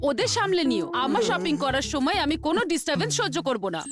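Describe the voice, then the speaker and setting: A high, animated cartoon mother, stern and imperious. She rattles out warnings like the boss, with music clearly behind her. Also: fast talker. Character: bossy, stern mother giving orders. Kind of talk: dubbed children's cartoon acting.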